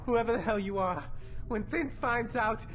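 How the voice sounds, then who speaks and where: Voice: mid-range voice, moderate pace, natural voice. An actor playing a frightened but threatening gang goon in an audio drama. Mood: panicked, threatening. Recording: very noisy action scene with gunfire.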